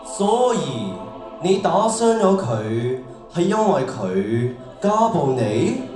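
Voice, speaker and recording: A man's voice: fairly high pitch, very animated, slow, a little mellow. A man playing a stern, officious judge in heaven's court in a radio drama. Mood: stern, warning. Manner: officious, authoritative courtroom delivery. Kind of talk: stage radio drama acting. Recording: echoey hall, distant mic, music.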